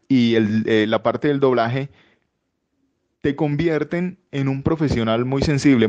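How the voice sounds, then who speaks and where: A man, a voice-over artist and announcer, answering interview questions in a measured, hesitant way with many fillers. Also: mid-range voice, darkish tone. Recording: dull lo-fi sound.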